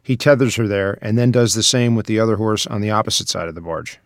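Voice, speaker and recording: A man's voice: on the low side, fast, no room sound, clean studio sound. A man reading scripted stage directions as the narrator of a screenplay table read.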